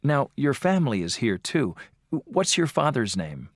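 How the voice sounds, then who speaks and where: An adult voice playing an interviewer in a scripted textbook dialogue, asking friendly questions with measured delivery. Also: mid-range voice, big pitch swings, no room sound, studio quality. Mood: plain.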